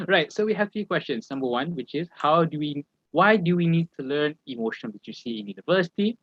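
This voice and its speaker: A man's voice: on the high side, animated, a little fast, fairly bright tone, no room sound. A young man co-hosting a casual student podcast, easygoing and hesitant, with many fillers. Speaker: podcast co-host. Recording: dull, lo-fi dry sound.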